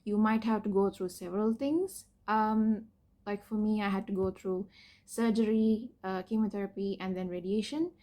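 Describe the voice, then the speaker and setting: A woman's voice: medium pitch, steady tone, moderate pace. Hesitant young woman talking candidly. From Sri Lanka. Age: young adult. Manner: hesitant, many fillers and restarts, soft laughs. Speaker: PhD student sharing her cancer story. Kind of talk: personal vlog, candid explanation.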